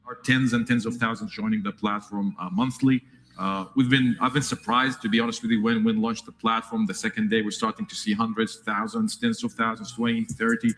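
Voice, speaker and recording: A man's voice: medium pitch, a bit bright. A man from Palestine, a tech founder, talking earnestly about his work, often restarting his phrases. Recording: long hall reverb.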